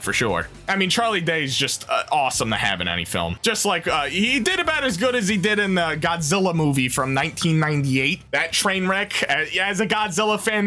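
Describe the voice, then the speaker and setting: A young man rambling through a casual movie review, animated and quick to interrupt himself. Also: on the high side.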